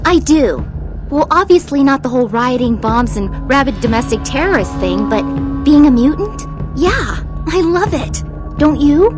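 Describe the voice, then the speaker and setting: Young heroine, high voice, confident, breezy, game cutscene, clear music behind. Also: female voice, very animated, normal speed. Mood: breezy, cheerful. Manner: breezy, reassuring, assured. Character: upbeat, confident young female mutant rescuer. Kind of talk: video game cutscene acting.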